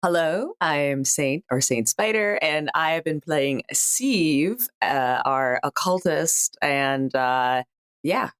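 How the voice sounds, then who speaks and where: Low, slow-speaking woman, halting and animated. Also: clean tone, dry recording, clean studio sound. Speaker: player on an actual play show. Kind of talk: casual introduction.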